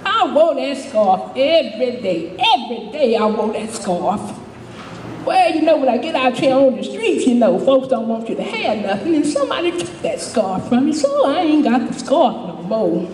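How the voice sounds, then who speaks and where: A woman's voice: high voice, sing-song, moderate pace, darkish tone. A woman playing a chatty, good-humored older homeless widow, telling her story in a folksy, rambling stage monologue. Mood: fond, chuckling. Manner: folksy, rambling, repeats "you know", chuckling. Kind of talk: dramatic monologue, storytelling to a live audience. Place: indoor stage performance, distant microphone. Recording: echoey hall from far off, faint noise.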